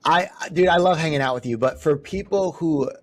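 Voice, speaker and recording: A man's voice: fairly high pitch, a little fast. Upbeat casual male podcast host. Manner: upbeat, friendly, says "man" and "dude". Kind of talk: casual interview. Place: inside a police cruiser.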